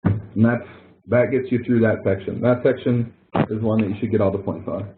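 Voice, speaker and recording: A man's voice: fairly low pitch, fairly even intonation, moderate pace, noisy background. Man teaching casually over a phone-like line. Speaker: instructor leading an online help session. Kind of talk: casual screen-share walkthrough of a spreadsheet exercise. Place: online web conference. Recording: muffled narrow phone-like audio.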